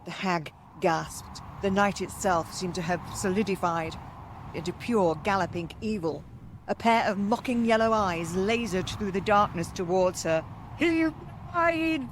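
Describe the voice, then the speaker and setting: A woman narrating a story aloud as host, in a dramatic, expressive and theatrical scripted style. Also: fairly low pitch, normal speed, background noise.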